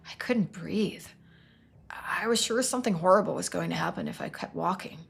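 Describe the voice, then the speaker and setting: A woman voicing a troubled young man trapped in his town, speaking haltingly and sometimes in a near whisper, for a story game. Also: lowish voice, vocal fry, breathy edge, exaggerated voice. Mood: anxious, haunted.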